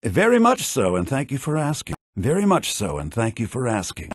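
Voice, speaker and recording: Expressive, sardonic fantasy townsman. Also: mid-range voice, husky, no echo. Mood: polite small talk. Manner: expressive, sardonic, quick to exasperation. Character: shifty, irritable fantasy townsman and dealer. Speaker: voice actor of game characters. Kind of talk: video game character voice lines.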